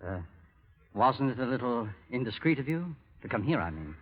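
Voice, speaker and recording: A man playing a stern, guarded moneylender in a 1930s radio drama, speaking in a measured, grave way. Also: medium pitch, very animated, husky. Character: guarded, stern male moneylender in 1890s Norway. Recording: muffled old 1930s radio recording.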